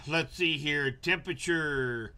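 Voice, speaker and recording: A middle-aged man gives a live weather briefing, his voice hoarse and wearing thin. He talks slowly and explains each chart step by step, in a fairly high, bright, forceful tone. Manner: slow, explanatory, walks viewers through charts. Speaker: local weather forecaster.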